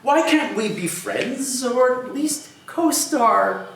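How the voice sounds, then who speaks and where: A man's voice: extremely high pitch, animated, slow, creaky, fairly bright tone, heavily acted. A man playing a cheerful, good-natured young nephew, earnest and pleading, in an amateur comic play reading. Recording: very echoey room, distant, faint noise.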